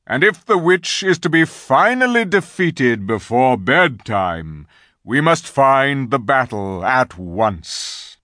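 A man voicing a noble lion leader in a storybook, loud, deliberate and commanding. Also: medium pitch, sing-song, no room sound, clean studio sound, voice pushed up, exaggerated voice.

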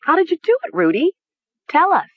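A woman acting a shrill, hysterical young woman. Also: huge pitch swings, unhurried, breathy edge, phone-quality sound, exaggerated voice. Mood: frantic denial. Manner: shrill, pleading, insistent. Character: hysterical young woman giggling in denial, turning frantic. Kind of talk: audiobook character acting.

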